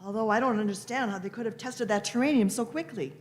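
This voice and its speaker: A woman's voice: a little high, fast talker, a little hiss or hum, close to an everyday voice. A woman playing a testy, patronising elderly time traveler in a sci-fi radio play, fussy and condescending. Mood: musing. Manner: fussy, condescending, repeats phrases.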